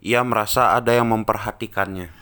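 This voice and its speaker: A man's voice: on the low side, fairly even intonation, brisk, noisy background. A man narrating a scripted story. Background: music behind the voice.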